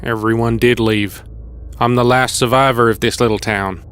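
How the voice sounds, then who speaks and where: An actor playing a wary older male survivor in an audio drama, gruff and folksy. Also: medium pitch, steady tone, moderate pace, fairly bright tone, natural voice. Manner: folksy, plain-spoken. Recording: clear background noise.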